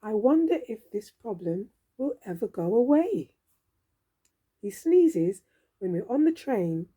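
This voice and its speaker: Female storybook reader for young children: theatrical, expressive, unhurried, with a breathy, husky voice. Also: medium pitch, highs cut off. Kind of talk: expressive children's read-aloud.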